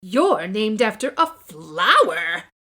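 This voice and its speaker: A woman's voice: mid-range voice, sing-song, slow pace, sharp tone, exaggerated voice. A woman voicing a snooty schoolgirl know-it-all in a children's storybook, taunting with haughty, emphatic delivery.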